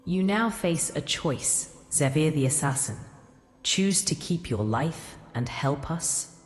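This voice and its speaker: An actress playing an ancient, imperious goddess in a fantasy audio drama, speaking in a solemn, regal, formal way. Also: female voice, deep voice, expressive, slow pace. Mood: regal, measured. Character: ancient imperious goddess in a queen's body, ghostly, commanding. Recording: cavernous reverb, faint music.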